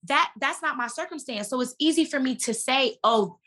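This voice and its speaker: A woman with a fairly high, bright and forceful voice talks casually as an interview guest. She explains herself in an animated, emphatic way, like someone telling personal stories in conversation. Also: moderate pace.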